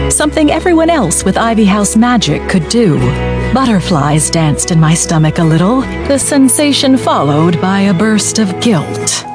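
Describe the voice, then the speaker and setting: A woman narrating an audiobook in the first person with a wry, lively delivery. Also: medium pitch.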